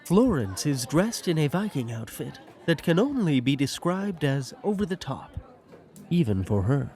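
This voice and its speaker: A man's voice: on the high side, sing-song, measured pace, darkish tone. A man narrating a scripted audio drama, describing the scenes.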